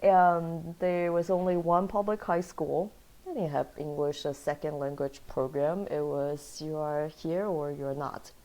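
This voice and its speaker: A woman from Taiwan and Kansas speaks in a low voice as an interview guest. She tells her story in an animated, easygoing way and laughs often. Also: measured pace, low-quality recording. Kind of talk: casual interview chat.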